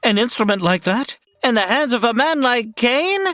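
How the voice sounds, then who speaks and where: A man's voice: extremely high pitch, lively intonation, normal speed, sharp tone, no room sound, studio quality, full character voice. An actor playing a fussy, fretful older male scientist in a comedy audio drama.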